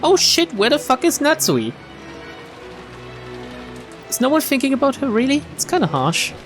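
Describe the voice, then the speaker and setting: A man streaming a visual novel, reading it aloud with expressive, casual commentary. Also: very high-pitched, moderate pace, faint music behind. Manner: expressive reactions, casual swearing. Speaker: game streamer.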